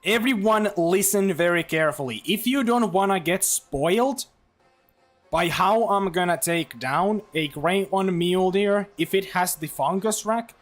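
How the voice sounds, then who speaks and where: A man live-streaming a game, rambling casually with lots of fillers and restarts, his throat a little dry. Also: high voice. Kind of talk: casual livestream chat while gaming.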